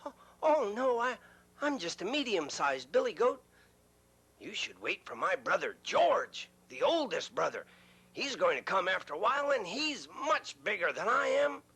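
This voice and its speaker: A man's voice: sits high, huge pitch swings, normal speed, few pauses, sharp tone, slightly rough, pitched above the performer's own voice, exaggerated voice. A man doing a nervous medium-sized goat. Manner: hesitant, stammering.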